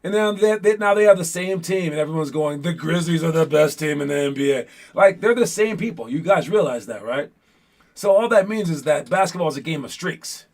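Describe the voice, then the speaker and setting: A man's voice: on the high side, lively intonation, brisk, a little mellow. A man hosting a basketball show as casual chat with viewers, talking in an informal, rambling way with playful teasing.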